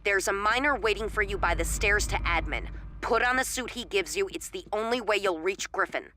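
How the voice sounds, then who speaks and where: An actress playing a female rebel leader in a video game, giving brisk instructions over a radio. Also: mid-range voice, sharp tone, slight background noise. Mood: firm instruction. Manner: brisk, directive. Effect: radio comm.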